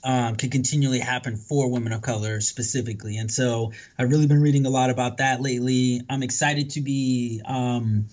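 A man's voice: medium pitch, fairly even intonation, a little fast, hardly any pauses, a little mellow. Man, podcast guest, reflective, casual, lots of "right?", slightly muffled lo-fi audio. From Dominican Republic. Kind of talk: casual conversational interview.

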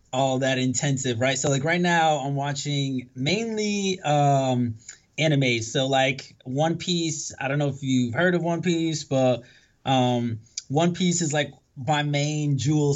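A man born in the Dominican Republic, a podcast guest talking in a reflective, casual way, often tagging his points with "right?" and filling pauses with "um". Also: a little high, lo-fi sound. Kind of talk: casual conversational interview.

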